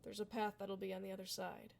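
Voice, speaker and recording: A woman's voice: medium pitch, flat delivery, fast, creaky, a little hiss or hum. A woman playing a blunt, kind tomboy dullahan adventurer in a casual, reassuring fantasy ASMR roleplay. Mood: casual, frank. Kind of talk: ASMR fantasy roleplay, close conversational acting.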